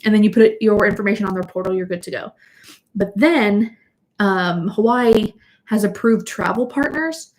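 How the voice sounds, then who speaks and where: A woman's voice: medium pitch, a little mellow, rough recording. A young woman chatting casually on a livestream from home, a bit sniffly from allergies, rambling with fillers and restarts. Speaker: vlogger hosting a livestream. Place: at home indoors.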